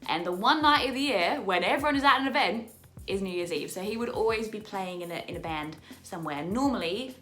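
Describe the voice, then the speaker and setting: A woman's voice: mid-range voice, expressive, a little fast, few pauses, fairly bright tone, faint music behind. A young Australian woman whose accent is turning a little English, chatting in a bubbly way from her apartment, repeating words for emphasis and laughing often. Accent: Australian, picking up some English. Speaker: vlogger, musical theatre performer. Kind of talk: casual chatty vlog to camera.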